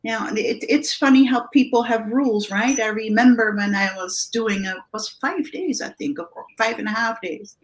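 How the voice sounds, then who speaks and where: A woman from the Netherlands with a Dutch accent, a guest coach giving animated interview answers with many restarts and tag questions. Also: on the high side, normal speed, few pauses, low-quality recording. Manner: animated, thinks aloud with many restarts and "right?".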